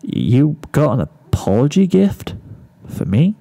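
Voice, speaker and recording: A man's voice: on the high side, very animated, slow pace, creaky, heavily acted. A man voicing a playful, affectionate young mare pony with a coy, teasing manner in a storybook reading. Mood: touched surprise. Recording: soft guitar music behind.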